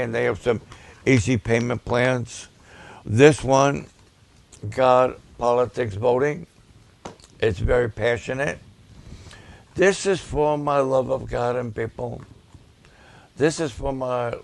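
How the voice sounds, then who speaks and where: Male pastor, raspy and gravelly, unhurried, deliberate preaching delivery. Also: medium pitch, steady tone. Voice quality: raspy at times. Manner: slow, deliberate, with emphatic repetitions. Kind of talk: preaching and promoting ministry videos to a livestream audience.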